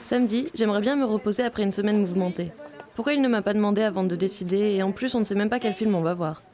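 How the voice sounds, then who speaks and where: A young woman from Vietnam, a native Vietnamese speaker, calmly narrating a personal video diary as a visiting film student. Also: mid-range voice, fast. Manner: calm, reflective, first-person storytelling.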